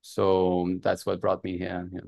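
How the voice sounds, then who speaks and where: A man's voice: deep voice, completely flat delivery, unhurried, creaky, darkish tone. A man in his thirties from Canada, a native French speaker, telling his personal story as an interview guest. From Montreal, Canada. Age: 30s. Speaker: podcast guest.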